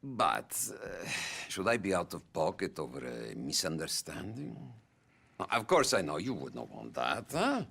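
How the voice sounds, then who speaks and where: Raspy, flamboyant Italian crime boss. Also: male voice, low voice, huge pitch swings, unhurried, a bit bright, heavily acted. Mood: mock-gracious. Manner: effusive, mocking. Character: flamboyant Italian male crime boss, mocking, menacing and jovial. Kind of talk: dramatic video game acting.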